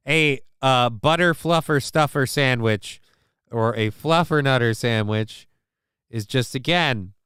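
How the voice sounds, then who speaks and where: A man's voice: mid-range voice, takes it slowly, a bit bright, clean tone, no room sound, studio quality. A man from Minnesota hosting a casual live show, rambling and swearing while he answers the chat, with lots of restarts and fillers.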